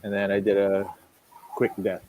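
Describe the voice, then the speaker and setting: A man's voice: on the low side, monotone, moderate pace, noisy background. A man co-hosting an online drawing show, bantering casually and commenting on drawings.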